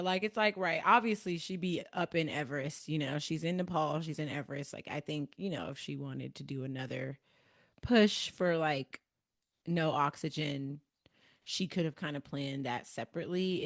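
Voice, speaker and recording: A woman's voice: low voice, animated, moderate pace, a bit bright. A woman with an American Midwest accent, co-hosting a podcast and casually chatting about a book, laughing often and using lots of fillers. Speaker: podcast co-host.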